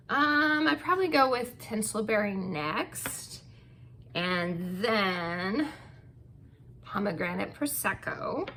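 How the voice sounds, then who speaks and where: A woman's voice: mid-range voice, gravelly, sharp tone, slight background noise. A woman hosting her own channel, chatting casually and gushing with many ums while losing her voice. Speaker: channel host reviewing wax melts. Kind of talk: casual product review.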